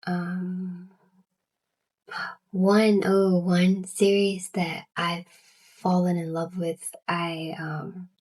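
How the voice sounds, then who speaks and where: Low-voiced Southern woman who stutters. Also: little pitch movement, darkish tone. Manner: stuttering, halting, many fillers and restarts. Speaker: booktuber. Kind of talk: casual vlog chat.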